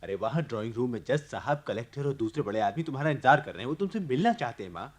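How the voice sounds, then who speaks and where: An actor playing an anxious, insistent grown son in a radio play. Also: male voice, medium pitch, animated, rapid-fire, close to an everyday voice. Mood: agitated, pleading.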